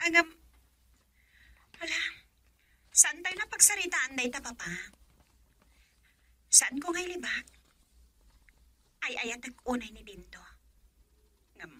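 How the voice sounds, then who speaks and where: Isang babaeng gumaganap bilang anak na dalagang nasasaktan sa pag-ibig, na may punto ng Ilocano, sa isang radio drama. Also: female voice, high-pitched, lively intonation, slow, pauses often, exaggerated voice. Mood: emotional. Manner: emotional, shifts from soft sighs to loud pleading. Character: young woman torn over love, daughter.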